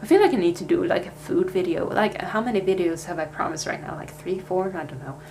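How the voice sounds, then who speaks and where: A woman's voice: fairly low pitch, lively intonation, fairly quick, creaky, a little mellow, a little hiss or hum. A young Swedish woman with a Swedish accent, chatting casually in a life update vlog, with plenty of fillers and restarts. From Sweden. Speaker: vlogger.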